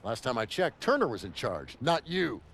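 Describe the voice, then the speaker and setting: A man's voice: mid-range voice, very animated, moderate pace, vocal fry, a bit bright, a little hiss or hum. A man playing a stern commanding officer who briefs and reprimands his troops. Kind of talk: game cutscene acting.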